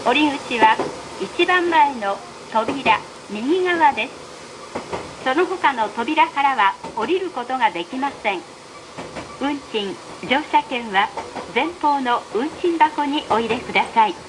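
High-voiced woman, slow train announcement. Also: animated. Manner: formal, evenly enunciated. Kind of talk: recorded train announcement, scripted. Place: played over speakers inside a moving train. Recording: train PA with clear running noise. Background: train running noise.

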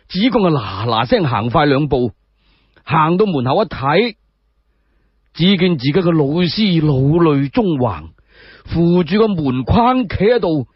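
A man telling a story in Cantonese as a one-man radio drama, in expressive colloquial narration. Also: fairly high pitch, breathy edge, no room sound, lo-fi sound.

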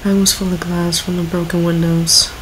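A woman's voice: fairly low pitch, little pitch movement, normal speed, a little hiss or hum, low-quality recording. A woman giving casual, offhand reaction commentary and reading text aloud as a video host.

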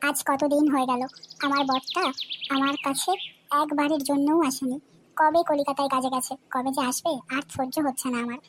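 A woman's voice: high voice, little pitch movement, moderate pace, loud music behind the voice, close to an everyday voice. A woman doing a lonely, lovesick cartoon neighbour. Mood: wistful. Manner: wistful, sighing. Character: lonely, love-starved young neighbour wife. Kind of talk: comic cartoon voice acting. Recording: noisy homemade recording.

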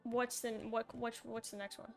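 High-voiced young woman gaming. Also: level tone, moderate pace, vocal fry, music in the background. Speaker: gamer playing a co-op puzzle game. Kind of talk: casual gameplay chat.

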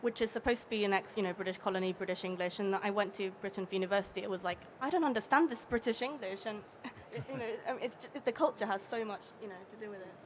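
A young woman from Hong Kong, a translator speaking on a panel at a table microphone, talking quickly with many restarts. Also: medium pitch, slight background noise. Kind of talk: panel discussion. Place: bookfair panel stage with an audience, indoors.

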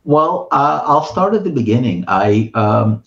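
Middle-aged man, Russian accent, gravelly low voice, dull video call sound. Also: lively intonation, measured pace, a bit bright. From Ukraine. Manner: many filler "uh"s, thoughtful. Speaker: guest, writer and translator. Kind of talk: casual interview talk. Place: home room with bookshelves.

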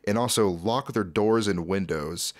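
A man's voice: lowish voice, measured pace, dry recording. A man in his twenties narrating a scripted video recap in a casual, conversational storytelling way, close to a desk microphone. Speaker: YouTube host. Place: small dim home room, indoors.

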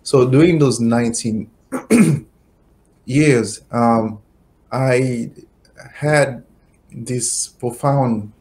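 A middle-aged man from Haiti answering interview questions slowly over a video call, pausing often with fillers. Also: medium pitch, a little mellow, low-quality recording. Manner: slow, halting, many fillers. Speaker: guest, attorney. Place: home office, indoors. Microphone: webcam video call.

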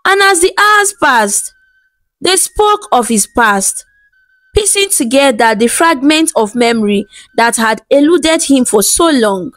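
A woman telling a scripted folktale as narrator, in a measured, dramatic storybook delivery. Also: high-pitched, expressive, fairly bright tone, dry recording, poor audio. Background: background music.

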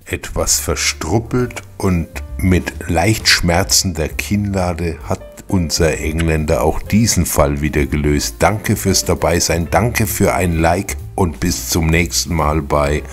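A man's voice: low voice, slow, hardly any pauses, faint music behind. A man narrating a retro crime radio play from a script, as the host presenting it.

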